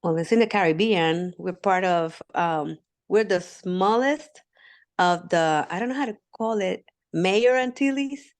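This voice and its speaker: Low-voiced Puerto Rican woman in her fifties. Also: unhurried. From Puerto Rico. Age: 50s. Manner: warm storyteller with many "um"s, laughing often. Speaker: guest. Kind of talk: casual interview talk.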